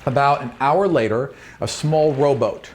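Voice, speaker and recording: A man's voice: mid-range voice, unhurried, slight background noise. A man working as a game master, narrating a tabletop roleplaying game in a descriptive storytelling way. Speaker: game master and podcast host.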